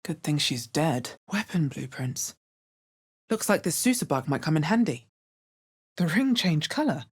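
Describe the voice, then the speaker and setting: Deep, husky woman wary fantasy adventurer. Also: big pitch swings, a little slow, exaggerated voice. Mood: wary, matter-of-fact. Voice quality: bassy, husky. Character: low-voiced, wary, dry female fantasy adventurer. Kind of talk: video game character voice lines.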